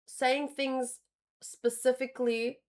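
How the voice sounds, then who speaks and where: Higher-voiced woman, casual streamer talk. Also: level tone, slow pace, darkish tone. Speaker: streamer reacting to manga. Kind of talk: casual livestream commentary.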